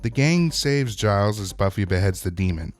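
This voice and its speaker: A man's voice: lowish voice, expressive, normal speed, faint music behind. A man hosting a scripted recap and review of a TV episode, narrating in a wry, opinionated way. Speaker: host of a TV episode recap show.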